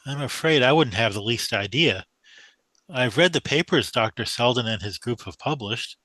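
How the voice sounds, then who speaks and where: A man's voice: medium pitch, expressive, moderate pace, bright, forceful tone, dry recording, low-quality recording. A man acting in a radio drama as a polite, earnest young mathematician, naive and hesitant. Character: polite, earnest young male mathematician new to the big city.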